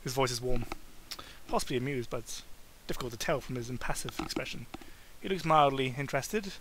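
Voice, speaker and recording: A man's voice: medium pitch, animated, a little fast, a bit bright, a little hiss or hum, poor audio. A man giving a goofy, exaggerated comedic reading of prose, often stumbling and breaking into asides. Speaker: amateur reader making a comedic dramatic reading. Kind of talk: hammy comedic audiobook-style narration.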